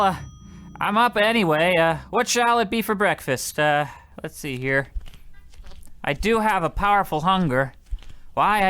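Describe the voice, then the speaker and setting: A man's voice: high voice, bright, forceful tone, clear, resonant tone, no echo. A man playing a meek, put-upon, poor postal worker who mutters fretfully in old-fashioned wording, in the style of old radio comedy.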